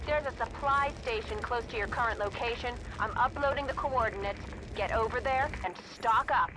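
A woman's voice: on the high side, normal speed. A woman playing a dry, authoritative military commander with clipped delivery over a radio in a video game. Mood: businesslike. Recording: radio noise, vehicle ambience behind.

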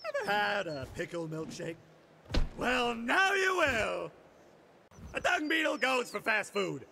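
A man voicing a zany, chirpy hamburger mascot in a video game, squeaky with a gravelly edge and an over-the-top, exclamatory showman delivery. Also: wildly swooping pitch, slow, noisy background, full character voice. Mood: zany, cheerful. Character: manic, zany, chirpy cartoon hamburger fast-food mascot warrior. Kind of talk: video game character voice lines.

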